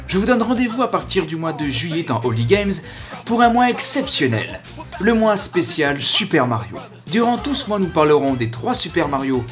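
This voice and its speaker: A man hosting a web radio show, delivering an upbeat, emphatic, chatty scripted promo. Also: sits high. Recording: music behind the voice. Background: music.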